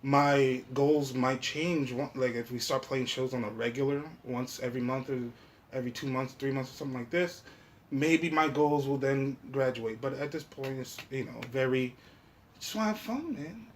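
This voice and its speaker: Male podcast host, medium pitch, informal and foul-mouthed chat, audible ambient noise. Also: moderate pace. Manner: profane, slangy, informal talk. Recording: clear background noise.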